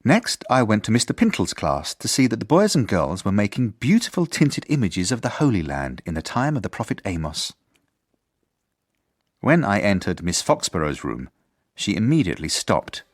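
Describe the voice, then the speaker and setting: Prim pedantic headmaster in a radio play, very swoopy intonation, dry close sound. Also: male voice, on the low side, wildly swooping pitch, normal speed, dry recording. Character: fussy, officious new headmaster narrating his report. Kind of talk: scripted radio drama narration.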